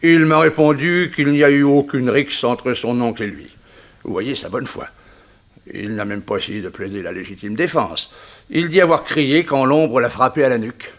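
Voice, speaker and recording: A man's voice: mid-range voice, normal speed, close to an everyday voice. Dry, formal examining magistrate, played by a man. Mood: measured, reasoning. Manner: formal, clipped, authoritative. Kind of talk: 1960s French radio drama acting.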